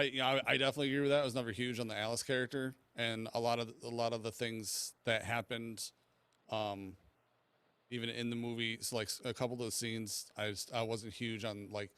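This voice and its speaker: A man talks casually as a podcast panelist. His voice is smooth and clear, recorded dry with no room sound. His phrasing is halting, often doubling back on itself. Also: mid-range voice, little pitch movement, fairly bright tone, dry recording. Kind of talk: casual chat.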